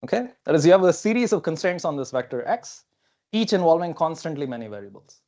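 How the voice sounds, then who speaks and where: A young man whose first language is not English lectures at a whiteboard in a lecture hall, speaking in a somewhat high voice. He talks in a loose, thinking-aloud way, peppered with okays and fillers, picked up cleanly on a clip-on mic. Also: sing-song, quick pace, darkish tone. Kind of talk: technical seminar talk at a whiteboard. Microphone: clip-on lapel mic.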